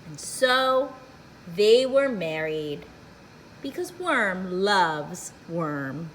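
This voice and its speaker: A woman's voice: lowish voice, very animated, extremely slow, a bit bright, slight background noise, rough recording. A woman reading a picture book aloud to young children as a library storyteller.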